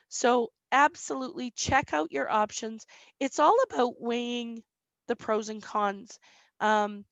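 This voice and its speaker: A woman insurance broker answering questions in a webinar, explaining plainly with frequent "um" and a slightly squeaky voice. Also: no echo, low-quality recording.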